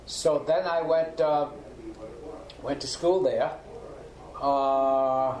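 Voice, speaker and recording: Halting older Austrian-born man, roomy sound. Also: medium pitch, steady tone, a little mellow, a little hiss or hum. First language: German. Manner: halting, repeats words as he recalls. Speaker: interviewee. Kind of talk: oral history recollection. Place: home kitchen. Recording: roomy home recording.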